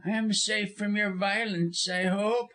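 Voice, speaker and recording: A man's voice: high voice, unhurried, a bit bright, pitched above the performer's own voice. A man voicing a cowardly, venomous lean lawyer in an audiobook, with a snarling, gasping, nervous manner. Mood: sly, nervous. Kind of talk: audiobook character acting.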